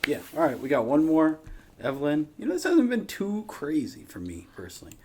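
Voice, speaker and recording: A man's voice: on the high side, huge pitch swings, brisk, darkish tone, a little hiss or hum. A man hosting a reaction video, chatting casually in a rambling, informal way.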